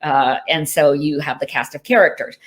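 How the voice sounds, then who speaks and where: A woman with a notably low voice whose first language is Hindi, talking over a video-call microphone. She answers reader questions in a loose, chatty way, laughing lightly and leaning on fillers like "you know" and "uh". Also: normal speed. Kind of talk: casual virtual Q&A. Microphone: video call mic.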